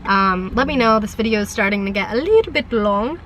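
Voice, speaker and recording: Hoarse woman rambling in a heartfelt vlog. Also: medium pitch, lively intonation, fairly quick, a bit bright, slight background noise. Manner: rambling, heartfelt, many ums and restarts. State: hoarse from a flu. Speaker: vlogger. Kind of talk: rambling sit-down talk to camera. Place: parked van.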